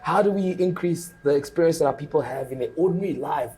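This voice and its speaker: A man in his thirties from the Democratic Republic of Congo, whose first language is French, speaking as a consulting director in an animated, emphatic interview full of rhetorical questions. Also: on the high side, quick pace, slight background noise, low-quality recording. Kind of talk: conversational interview. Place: conference.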